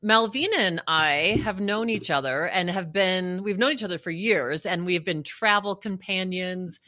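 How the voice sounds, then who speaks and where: A woman's voice: on the low side, moderate pace, pushed and bright, no room sound. A woman hosting a podcast, talking in an upbeat, effusive way as she greets her guest. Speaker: podcast host. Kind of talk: enthusiastic interview chat.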